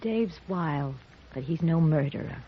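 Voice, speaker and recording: Husky, defiant young wife. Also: female voice, low-pitched, animated, a little slow, exaggerated voice. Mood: defiant, earnest. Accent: American. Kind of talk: 1950s radio western acting. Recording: muffled old radio recording.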